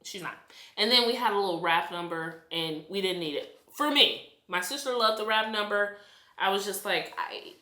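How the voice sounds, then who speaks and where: A woman from the United States reviews a movie in a chatty, animated rant, sounding exasperated. Her voice is bright and forceful. It rings in an echoey room. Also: medium pitch, normal speed. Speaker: vlogger.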